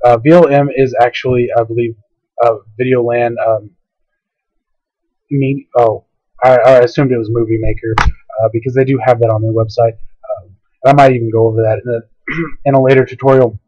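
A man narrating a casual, unscripted software tutorial, hesitant and rambling, his voice tiring as his throat gives out. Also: mid-range voice, flat delivery, moderate pace, long pauses, no room sound. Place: indoors at a computer, screen-capture voice-over. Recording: dull lo-fi home screen recording.

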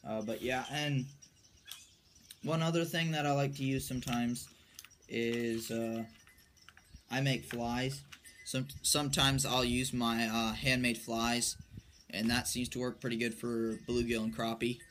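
A young man talks casually and off the cuff, as if showing his gear to a friend. His delivery is slow and halting, with little movement in pitch. He is recorded outdoors on a covered porch, with some background noise around him. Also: little pitch movement, a bit bright. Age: young adult, late teens or early twenties. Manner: halting, low-key, trailing off while handling items. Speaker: amateur angler presenting his tackle. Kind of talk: casual unscripted show-and-tell. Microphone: phone or camera at arm's length.

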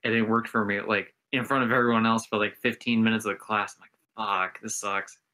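A man's voice: fairly low pitch, level tone, fairly quick, sharp tone. A young man hosting a podcast, laid-back and casual, telling anecdotes with some mild swearing. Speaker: podcast host.

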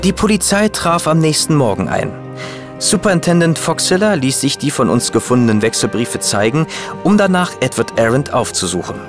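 An actor playing a detective's loyal assistant and chronicler in a German audio drama. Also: male voice, medium pitch, sing-song, normal speed, over music. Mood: narrating. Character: loyal male detective's assistant and chronicler, eager, slightly baffled.

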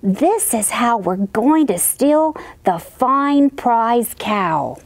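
A woman voicing a scheming, bumbling male cattle thief in a storybook, hushed and conspiratorial. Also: fairly high pitch, very animated, drawn-out words, slight background noise, full character voice. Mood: sneaky plotting.

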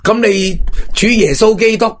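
A man's voice: high-pitched, fairly even intonation, normal speed. A man with a Hong Kong accent chatting casually as a satirical talk show host, emphatic and often checking for agreement.

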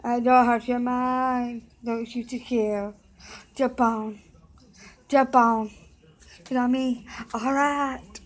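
A young woman singing songs loosely and loudly into a close webcam in an office room, shouting and chanting at times. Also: on the high side, flat delivery, slow, pauses often. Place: indoor office room with desks and computers. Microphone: webcam at close range, with headphones on.

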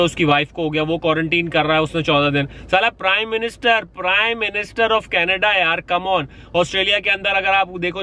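A man's voice: a little high, sharp tone. Earnest man talking in a parked car. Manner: earnest, pleading, mixes in English phrases. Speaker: vlogger and rideshare driver. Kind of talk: casual advice vlog. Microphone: phone or camera at arm's length.

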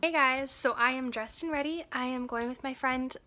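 A young woman in her twenties talks to a phone held at arm's length, with a high, bright voice. She is chatty and giggly, with plenty of ums and likes. A faint background hum sits under her voice. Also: normal speed. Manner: chatty, giggly, filler-heavy. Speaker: fitness vlogger. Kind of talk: casual vlog chat to a phone camera. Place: home kitchen, gym and nail salon.

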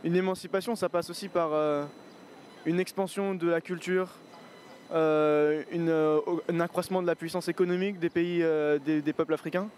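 A man's voice: fairly high pitch, steady tone, moderate pace, darkish tone, a little hiss or hum. A young man in his twenties giving a thoughtful, hesitant street interview with many fillers, speaking into a handheld mic outdoors in a city square. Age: 20s. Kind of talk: street interview, reflective opinion.

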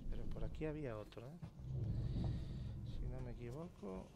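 A man's voice: mid-range voice, animated, moderate pace, vocal fry, heavy background noise. A man with a Spain accent giving casual, sweary gameplay commentary in a muttering manner. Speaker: gamer, series host. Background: loud game soundtrack and sound effects.

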